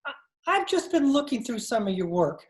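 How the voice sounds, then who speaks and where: A woman's voice: fairly high pitch, big pitch swings, moderate pace, darkish tone. A woman playing a flustered male newspaper editor in 1940s radio comedy style, hesitant, placating and dryly teasing. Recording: echoey room on video call.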